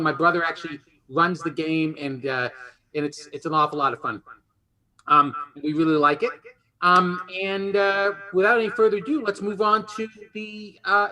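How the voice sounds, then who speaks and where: Male game show host, high and bright voice, chatty, casual, rephrasing as he goes. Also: rough recording.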